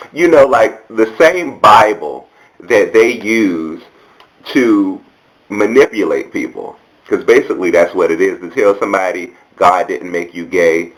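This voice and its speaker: Low-voiced, sassy American man vlogging. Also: poor audio. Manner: sassy, candid, colloquial. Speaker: video blogger. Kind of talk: casual commentary to camera.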